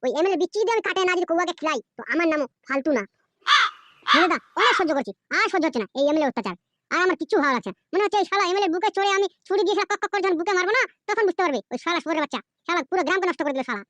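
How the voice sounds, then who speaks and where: Woman as aggrieved male cartoon henchman, very fast, high voice, crude, vengeful rants. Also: lively intonation, a bit bright, no room sound. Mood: bitter anger. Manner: ranting, coarse, swearing. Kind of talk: amateur cartoon dubbing.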